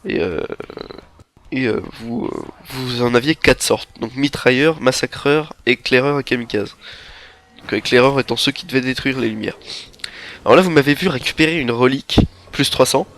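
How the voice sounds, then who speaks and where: A young man with a stuffy nose from a cold, giving rambling, halting gameplay commentary into a headset mic. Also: mid-range voice, a bit bright. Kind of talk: game walkthrough commentary. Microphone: headset microphone.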